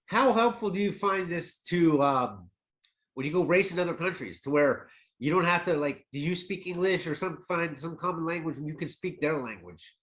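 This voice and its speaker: Man, host, high voice, very lively pitch, laid-back jokey questions. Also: moderate pace. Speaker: podcast host. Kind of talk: casual interview chat.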